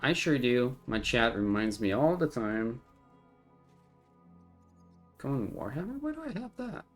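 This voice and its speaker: A man's voice: medium pitch, sing-song, unhurried, soft background music. A streamer whose first language is French, chatting casually and laughing easily while he plays. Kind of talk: casual gameplay chat.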